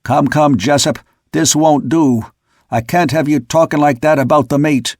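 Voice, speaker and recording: A man voicing a stern, authoritative second mate in a sea-story audiobook, sharp and commanding. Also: medium pitch, measured pace, breathy edge, no room sound, studio quality. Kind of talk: audiobook character voice.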